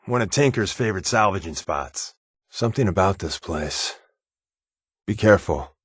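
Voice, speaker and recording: A man's voice: low-pitched, animated, moderate pace, breathy edge, no room sound, studio quality. A man playing a sly, wry undercover resistance agent in a video game, talking in a casual, glib, sardonic way. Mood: businesslike. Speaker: video game companion character. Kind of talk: scripted game dialogue, conversational.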